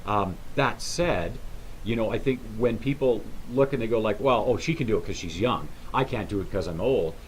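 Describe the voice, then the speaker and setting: Male host, 50s, chatty and halting with restarts, faint hiss under the voice. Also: on the low side, poor audio. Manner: rambling, halting with many restarts. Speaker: talk show host. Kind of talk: casual interview chat.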